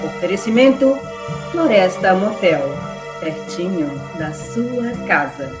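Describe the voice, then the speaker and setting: A woman's voice: medium pitch, lively intonation, slow pace. A woman with a Brazilian accent narrating a scripted radio serial in a theatrical announcer style. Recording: roomy echo, music behind. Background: music.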